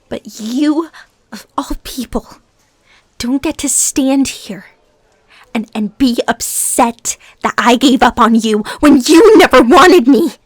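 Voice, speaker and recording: Woman acting an intense, wounded ex-stalker, high-voiced. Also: dry recording. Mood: defensive, hurt. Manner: halting, emotional, swinging between hushed whispers and outbursts. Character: intense lovesick ex-stalker woman, bitter, wounded, fighting obsession. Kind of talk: intimate dramatic audio roleplay monologue.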